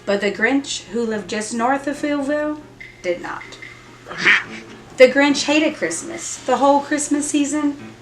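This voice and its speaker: A woman reading a children's story aloud expressively, with dramatic emphasis. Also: high voice. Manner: dramatic emphasis and drawn-out repetition. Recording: echoey room, music and effects behind. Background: music and sound effects from an app.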